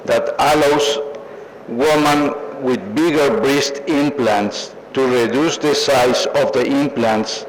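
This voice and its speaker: An older man with a Mexican accent delivers a prepared acceptance speech. He is formal and takes his time over each phrase, in a middle-pitched voice. Faint event noise sits behind him. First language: Spanish. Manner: formal, measured. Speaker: award recipient, plastic surgeon. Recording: noisy live event recording.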